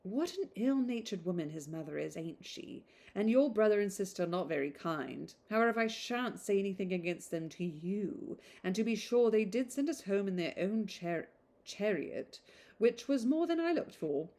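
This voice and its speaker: A woman's voice: mid-range voice, lively intonation, normal speed, darkish tone, close to an everyday voice. A woman voicing a gossipy, affected young woman from a period novel, chattering in exclamatory run-on sentences. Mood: gossipy, brazen. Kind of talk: novel read aloud.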